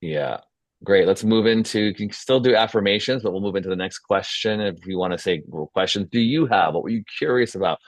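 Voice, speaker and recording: A man's voice: fairly low pitch, fairly quick. A man hosting a casual script feedback session, speaking in an encouraging, informal way over a video call. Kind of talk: casual facilitation and critique. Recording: echoey, dull video-call audio.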